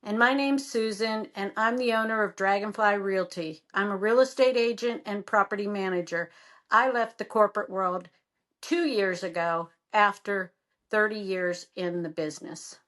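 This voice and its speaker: A woman's voice: mid-range voice, normal speed, a bit bright. Woman co-host reading a podcast intro. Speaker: podcast co-host, real estate agent.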